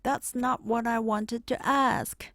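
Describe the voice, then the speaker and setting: A woman's voice: a little high, fairly even intonation, slow pace, fairly bright tone, no room sound, studio quality, pitched above the performer's own voice. A woman voicing a young schoolboy in a storybook, eager and anxious, with an earnest childlike manner. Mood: muttering. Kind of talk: storybook character voice.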